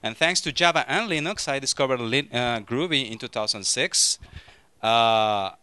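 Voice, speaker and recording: A man in his thirties from Mexico with a Mexican Spanish accent, giving a lively, joking technical talk to a conference audience through a handheld microphone. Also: mid-range voice, normal speed, clear, resonant tone, dry recording. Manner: lively, joking, frequent restarts. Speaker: conference speaker.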